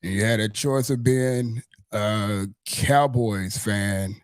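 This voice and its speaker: A man with a low voice and a Southern US accent hosts a casual sports chat. He talks in a wordy, looping way, repeating phrases as he builds a question. The sound is dry, with little room to it. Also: takes it slowly, clear, resonant tone, no room sound. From Mississippi, United States. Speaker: podcast host.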